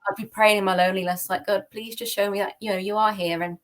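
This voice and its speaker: A British woman speaks as a podcast guest, recounting personal experiences in an informal, candid way. Her pitch sits in an ordinary middle range for a woman. The delivery is conversational, loosely phrased and sprinkled with casual filler words. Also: steady tone, quick pace. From United Kingdom. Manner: candid, conversational, peppered with "like" and "kind of". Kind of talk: informal personal storytelling in an interview.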